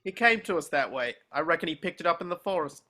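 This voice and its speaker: A man playing a surly, rough, resentful henchman in a table read of a film script. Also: fairly high pitch, expressive, fast, bright, forceful tone. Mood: surly, wary.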